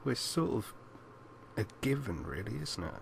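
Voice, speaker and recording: A man's voice: lowish voice, big pitch swings, normal speed, gravelly, a little hiss or hum. A man co-hosting a casual film podcast, teasing and posing questions in a mock-serious way. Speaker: podcast co-host. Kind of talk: casual chat about films.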